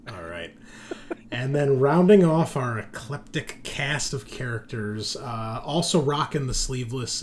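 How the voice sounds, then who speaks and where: A man hosting a tabletop game as game master, bantering casually with teasing and swearing. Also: medium pitch.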